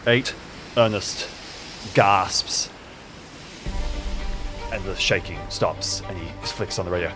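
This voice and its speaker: A man narrating his character's actions in an improvised audio drama, casually and haltingly, feeling out each description. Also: sits low, over music. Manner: casual, groping descriptions full of "like".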